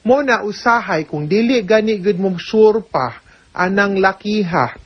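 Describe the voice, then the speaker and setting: A woman's voice: mid-range voice, expressive, measured pace, breathy edge. A woman with a Cebuano Filipino accent giving conversational, step-by-step legal advice in answer to listener questions, often adding small check-in tags. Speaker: legal adviser on a radio programme. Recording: dull, lo-fi radio audio.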